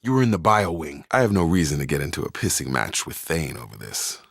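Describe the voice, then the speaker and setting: A man playing a steadfast, guarded space soldier in a video game, speaking in a measured, plain-spoken, earnest way. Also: rumbling low, sing-song, creaky, fairly bright tone, slightly rough, no echo, studio quality, exaggerated voice. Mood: guarded, matter-of-fact. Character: steadfast young male space soldier, guarded, duty-minded. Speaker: squadmate in a science fiction game. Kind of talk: video game voice acting, naturalistic dramatic dialogue.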